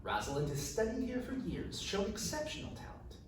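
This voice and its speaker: A haughty, demanding noblewoman from a fantasy storybook, acted out by a man. The delivery is imperious and insistent, somewhat high and lively, with heavy room echo. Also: brisk. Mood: cool, entitled. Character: stern, haughty noblewoman, silver-haired demanding mother. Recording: echoey room, distant mic, faint noise.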